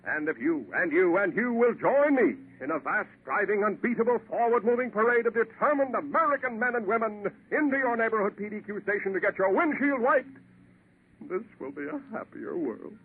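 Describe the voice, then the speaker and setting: Narrow old radio sound, faint noise, pompous male pitchman, declamatory, grandiose. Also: animated, a little fast. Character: pompous male orator grandly hawking a product. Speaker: commercial pitchman. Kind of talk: 1940s radio ad, mock-grandiose oratory. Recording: narrow-band, faintly noisy 1940s radio recording.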